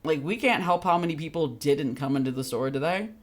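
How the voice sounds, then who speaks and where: Casting: young adult livestreamer, mid-range androgynous voice, lively intonation, giggly, blunt and sweary. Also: mid-range voice, brisk. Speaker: game streamer. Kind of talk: casual livestream chat with viewers.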